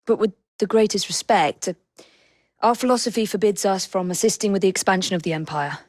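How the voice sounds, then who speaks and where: Game casting: actress as female Victorian assassin, young, English, poised and crisp, resolute temper, close dry recording. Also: mid-range voice, brisk, no echo, lightly acted. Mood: composed, determined. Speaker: video game voice actor. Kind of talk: scripted game character dialogue.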